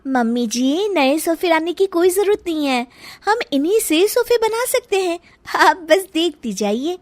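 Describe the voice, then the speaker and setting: A woman voicing a sassy, sweet-talking young daughter-in-law in an animated story, with exaggerated, expressive delivery. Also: very high-pitched, unhurried, no echo, pitched above the performer's own voice, exaggerated voice. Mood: persuasive. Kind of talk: animated Hindi moral story acting.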